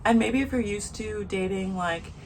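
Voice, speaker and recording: Soft-voiced woman chatting frankly to camera. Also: medium pitch, moderate pace, slight background noise, rough recording. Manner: chatty, frank, repeats points for emphasis. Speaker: vlogger. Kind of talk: casual opinion vlog to camera. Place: outdoors in the sun.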